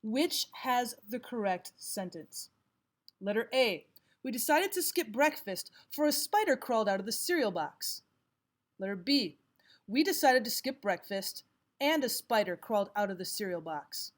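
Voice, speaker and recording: A woman with a somewhat high-pitched voice narrates a scripted lesson. She speaks as a teacher reading exercises aloud, in a measured and instructional manner. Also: sing-song. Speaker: English grammar teacher.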